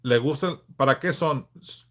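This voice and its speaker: A man in his forties from Southern California, hosting a casual livestream and answering viewers in a frank, laid-back way with halting restarts. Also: medium pitch. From Los Angeles, California. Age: 40s. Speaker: livestream host.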